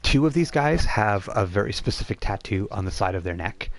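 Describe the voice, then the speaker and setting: Male game master, lowish voice, thinks aloud and improvises haltingly while narrating a tabletop session. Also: animated.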